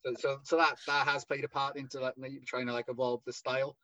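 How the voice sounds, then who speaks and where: A man's voice: mid-range voice, flat delivery, fast talker, pushed and bright, no echo, dull audio. A Welsh man with a thick Welsh accent, a masked wrestler talking eagerly in an interview, rambling with many restarts. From Wales. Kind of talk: casual interview chat.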